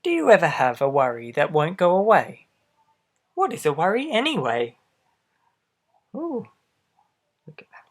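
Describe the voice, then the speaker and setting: Man gently reading a children's picture book. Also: high-pitched, unhurried, pauses often. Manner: gentle, expressive, addressing a young listener directly. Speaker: storybook reader for children. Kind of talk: read-aloud picture book narration with asides.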